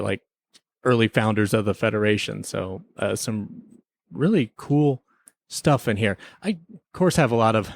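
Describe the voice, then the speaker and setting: A man's voice: mid-range voice, creaky, no room sound. Man, mid-range voice, conversational podcast reviewer, informal delivery, dry sound with no room. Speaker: podcast co-host. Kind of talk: casual conversational TV episode review.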